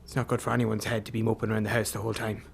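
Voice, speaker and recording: A man's voice: fairly low pitch, little pitch movement, racing, gravelly, slight background noise. An Irish man playing a worried, blunt middle-aged father with a sardonic way of talking in a radio drama. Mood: blunt, nagging. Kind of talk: naturalistic radio drama acting.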